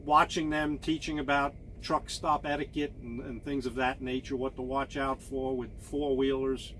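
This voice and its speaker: A man in his fifties, a truck driver, talking casually to camera in a monotone, halting way with many fillers and repeated words. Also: medium pitch, a little hiss or hum, poor audio. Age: 50s.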